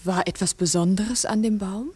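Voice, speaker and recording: Mellow, husky, inquisitive schoolteacher played by a woman. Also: medium pitch, normal speed, dry recording, lightly acted. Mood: careful, intrigued. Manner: probing, careful, polite questioning. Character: earnest, inquisitive female village schoolteacher. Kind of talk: 1960s German radio drama acting.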